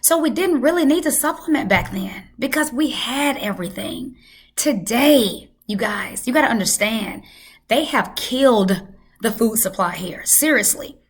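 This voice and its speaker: A woman hosting a health channel, hoarse from losing her voice, talking casually and emphatically to her viewers. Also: fairly high pitch, lively intonation, unhurried. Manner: emphatic, repeats for effect. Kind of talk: casual talk to camera.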